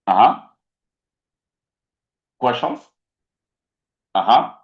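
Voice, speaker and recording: A man with a Kenyan accent mentoring accounting students in a live online talk, mixing English with Swahili and often pausing on fillers and repeated phrases. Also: medium pitch, sing-song. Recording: roomy, dull lo-fi stream audio.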